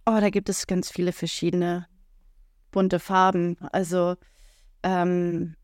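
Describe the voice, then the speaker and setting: A woman's voice: lowish voice, level tone, slow, darkish tone, dry recording, clean studio sound. A woman speaking frankly and chattily on a casual podcast, with many fillers. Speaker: podcast co-host.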